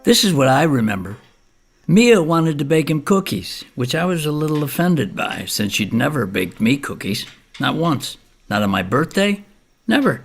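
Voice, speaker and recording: An actor playing a folksy older widowed homeowner in an audio comedy play, plain-spoken and rambling, with a gravelly, husky voice. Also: male voice, a little high, sing-song, normal speed, faint music behind. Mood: mildly offended.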